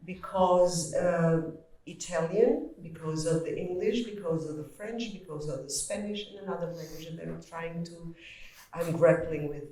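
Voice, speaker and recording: A woman from Albania with an Albanian accent, an author telling stories about her life with halting restarts in a panel conversation. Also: on the low side, dark tone. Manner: reflective, storytelling, halting restarts. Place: hall at a literary event. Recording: echoey hall, far from mic.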